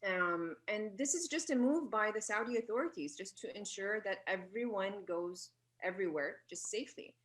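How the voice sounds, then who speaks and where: A woman answering interview questions, explaining earnestly, often pausing and correcting herself. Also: medium pitch, expressive, a bit bright. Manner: earnest, with many fillers and self-corrections. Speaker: radio guest.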